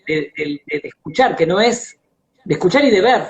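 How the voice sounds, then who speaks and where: An Argentine man hosts a casual live interview with a Rioplatense accent. He speaks haltingly, repeating words as he looks for the phrase, and the audio is a little dull and lo-fi. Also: sits high, lively intonation, creaky. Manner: halting, searches for words with repetitions.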